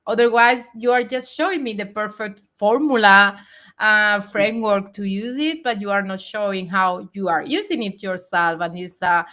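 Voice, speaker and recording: A woman's voice: medium pitch, moderate pace, sharp tone. A woman in her forties hosting a live video chat, speaking in a reflective, earnest way with frequent fillers. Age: 40s. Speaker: host.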